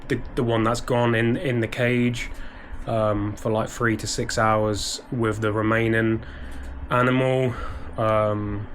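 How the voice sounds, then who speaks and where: A man's voice: lowish voice, flat delivery, takes it slowly, a little hiss or hum. A British man talking casually to camera from his office, a little stuffy and bunged up, rambling with many ums and restarts. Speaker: eBay reseller vlogger. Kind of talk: casual vlog talk to camera. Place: small home office.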